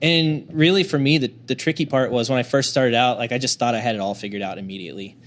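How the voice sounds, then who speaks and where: A man's voice: mid-range voice, fast. A young man talking casually as a podcast guest, rambling through anecdotes with many fillers. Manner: rambling, anecdotal, lots of likes and ums. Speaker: recording engineer guest.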